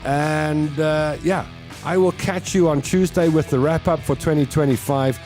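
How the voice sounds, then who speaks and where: Mellow South African man in his fifties. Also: fairly high pitch, fairly even intonation, measured pace, faint music behind. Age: 50s. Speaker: live show host. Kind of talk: casual live monologue to listeners.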